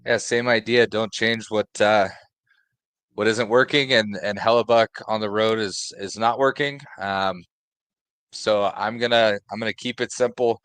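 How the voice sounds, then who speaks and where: A man co-hosting a sports betting show, giving his picks in a halting way, with many fillers. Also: lowish voice, fairly even intonation, fairly bright tone, clean tone, dry recording.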